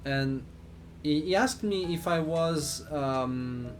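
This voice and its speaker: Slow-talking man with a Swiss accent. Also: on the high side, soft background music. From Switzerland. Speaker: flight simulator streamer. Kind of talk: casual live stream chat while playing.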